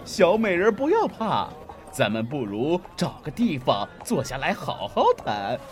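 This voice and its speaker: An actor playing a lecherous, leering street thug in a Chinese martial-arts audio drama, oily and exaggerated. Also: male voice, on the high side, huge pitch swings, a little slow. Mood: mock-soothing.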